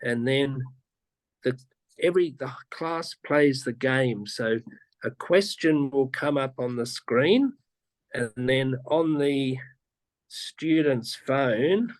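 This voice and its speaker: A male teacher talks slowly and patiently over a video call, circling back and repeating himself as he explains. The sound is dry and close, with no room around it. His tone is casual and easygoing. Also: medium pitch, dry recording, rough recording. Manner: patient, slow, with frequent restarts and repeats. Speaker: teacher being interviewed. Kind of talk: casual explaining in an online interview.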